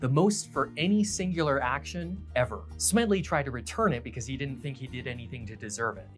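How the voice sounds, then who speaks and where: A man's voice: mid-range voice, fairly quick, soft background music. A man giving a dry, matter-of-fact scripted narration about history. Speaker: history YouTuber.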